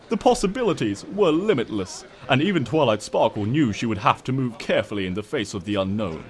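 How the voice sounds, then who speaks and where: A man voicing a smug, mock-innocent trickster creature who narrates a comic storybook tale in a pompous, wry, theatrical way. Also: medium pitch. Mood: mock-dramatic.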